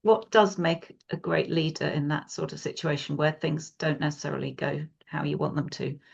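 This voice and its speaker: A woman's voice: deep voice, steady tone, moderate pace, creaky, darkish tone, breathy edge, dull audio. A woman interviewing a guest, affirming his points with short replies and then expanding on them at length. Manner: affirming, with many short back-channel replies. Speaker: interviewer, coach. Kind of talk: conversational interview.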